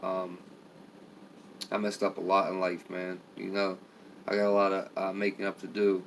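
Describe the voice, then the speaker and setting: A middle-aged man with a raspy voice, tired and rambling as he talks casually to viewers on a live stream. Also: sits low, monotone, moderate pace, slight background noise, poor audio. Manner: rambling, heavy with filler phrases. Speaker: vlogger.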